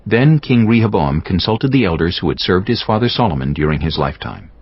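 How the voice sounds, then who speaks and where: Deep-voiced man narrating scripture. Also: lively intonation, a little fast, husky, dry recording. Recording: slightly dull sound, music behind.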